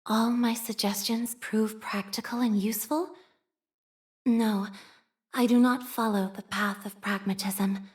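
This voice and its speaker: A woman acts out a young priestess of a data faith for a video game. She is humble and shy, with a mid-pitched voice. Her delivery is formal and measured, like scripture recited by someone who prefers machines to people. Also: fairly even intonation, close to an everyday voice. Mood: solemn, reverent. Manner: formal, measured, liturgical phrasing with hesitant shyness. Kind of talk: scripted game character voice lines.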